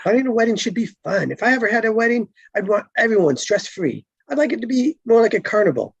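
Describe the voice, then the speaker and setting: A woman reading the part of a friendly, teasing police officer with a husky, creaky voice, in a casual table read. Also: fairly high pitch, lively intonation, fast talker, no room sound, dull audio, full character voice. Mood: friendly, quirky.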